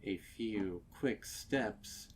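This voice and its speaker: A man reading a story as narrator in a dramatic, measured and suspenseful manner. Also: lowish voice, slight background noise.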